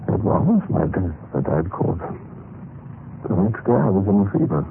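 An actor playing a dead Russian clerk who gravely narrates his own story in an old radio drama, measured and theatrical. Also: low voice, big pitch swings, exaggerated voice. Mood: mournful, grave. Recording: muffled old radio recording with music. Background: music.

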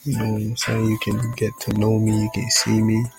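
Gravelly laid-back young man. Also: lowish voice, monotone, brisk, music in the background. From Jamaica. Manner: laid-back, rambling, keeps saying "you know what I'm saying". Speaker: podcast host. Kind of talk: casual solo podcast intro.

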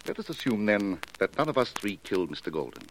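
A scheming male stage mystic in an old radio thriller. The voice is very low yet moves about theatrically, with formal, insinuating phrasing. Noticeable noise runs through the vintage recording. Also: big pitch swings, fast talker, low-quality recording. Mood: calculating. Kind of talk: 1940s radio mystery acting.

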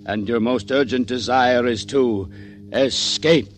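An actor playing an ominous, menacing narrator in a 1940s radio adventure show. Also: male voice, mid-range voice, takes it slowly, natural voice. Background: loud dramatic music.

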